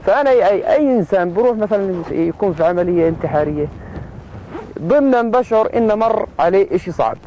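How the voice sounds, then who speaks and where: A man's voice: high voice, expressive, racing, mellow. A young man answering an interview, reflective and thinking aloud. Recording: dull lo-fi audio, faint noise.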